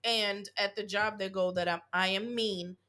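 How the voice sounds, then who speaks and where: A woman co-hosting a casual chat podcast, blunt and animated, acting out scenes with plenty of cursing. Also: mid-range voice, moderate pace. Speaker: podcast co-host; work supervisor.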